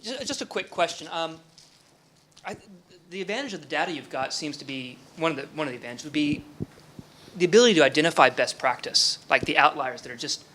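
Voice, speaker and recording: A man in the audience asking a quick question, apologetic and hurried. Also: a little high, animated, slight background noise. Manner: apologetic, hurried, self-described loud voice.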